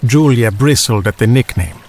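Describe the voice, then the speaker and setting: A man's voice: mid-range voice, moderate pace, noisy background. A man reading a children's storybook as a scripted audiobook narrator.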